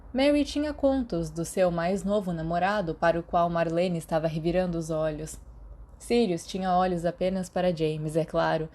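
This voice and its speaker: A Brazilian woman narrates a story from a script in a soft-edged, mellow voice. Her pitch sits a little low for a woman, and she reads as an audiobook narrator. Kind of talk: scripted fan fiction narration.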